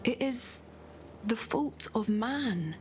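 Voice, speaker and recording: A woman playing a mysterious, solemn witch in a fantasy game, telling grave lore in an earnest, measured and portentous way. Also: fairly high pitch, expressive, darkish tone, a little hiss or hum. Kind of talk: dramatic fantasy game acting.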